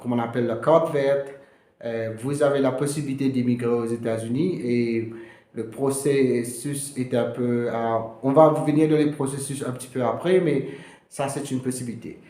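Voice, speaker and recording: A man from Mauritius, a lawyer explaining to camera in a halting way, full of fillers and English terms. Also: medium pitch, steady tone, warm tone, echoey room. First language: Mauritian Creole. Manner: hesitant, many fillers, slips into English terms. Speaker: immigration lawyer.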